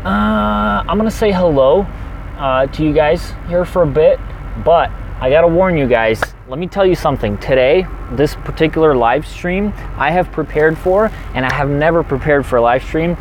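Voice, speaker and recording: A man's voice: on the high side, creaky, slight background noise, low-quality recording. A man who drives a truck for a living, chatting energetically on a livestream and reading out viewer comments. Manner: energetic, chatty, fast-talking.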